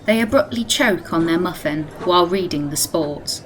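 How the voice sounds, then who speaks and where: A woman's voice: on the low side, normal speed, a little hiss or hum, lightly acted, low-quality recording. A woman playing the composed narrator of a radio play, reading scripted present-tense storytelling. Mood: measured.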